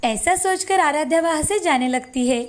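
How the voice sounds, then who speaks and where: A woman's voice: sits high, moderate pace. A woman reading a scripted children's story as narrator. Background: faint music.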